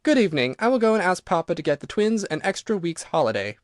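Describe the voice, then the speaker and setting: Prim earnest little girl, high and brisk, guy reading doing the voice, dry close sound. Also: very animated, no room sound. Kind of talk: audiobook reading.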